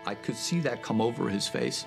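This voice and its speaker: A middle-aged man telling his own survival story in an interview, in a deliberate, dramatic way. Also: medium pitch, gravelly. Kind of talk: first-person interview storytelling. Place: dark interview studio. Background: music.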